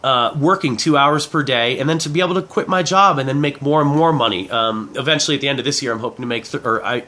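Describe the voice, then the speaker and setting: A man in his forties speaks in a fairly high, bright and forceful voice while fighting a head cold. He delivers a casual, rambling online pitch, often doubling back to rephrase what he just said. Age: 40s. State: head cold, clearing throat. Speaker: affiliate marketing reviewer.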